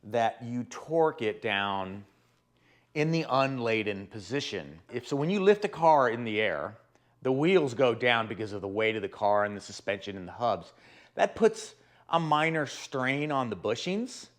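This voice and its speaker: A man with a hoarse, sore-throat voice, casually explaining car repair steps as host of his own channel. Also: medium pitch, sing-song, normal speed. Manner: chatty, rambling, explains step by step. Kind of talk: casual DIY car tutorial.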